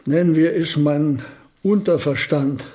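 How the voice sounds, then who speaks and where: A man acts out an elderly genius physicist for a radio play, his voice on the high side. He is courteous, absent-minded and a little self-satisfied, phrasing everything formally in a roomy space. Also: unhurried, warm tone, natural voice. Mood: courteous, absorbed. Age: older. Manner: polite, pedantic, formal phrasing. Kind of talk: German radio play acting.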